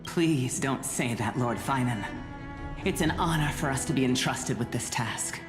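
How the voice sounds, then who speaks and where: A woman's voice: rumbling low, measured pace, roomy sound, in a large hall, over music. A woman voicing a humble, earnest and respectful attendant in a fantasy video game.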